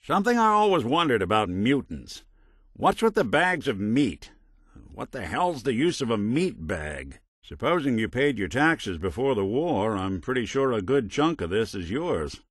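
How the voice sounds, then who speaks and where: Middle-aged male robot detective, American accent, animated intonation, dry close sound, wry deadpan asides, film noir game character. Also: medium pitch, moderate pace, breathy edge, no echo.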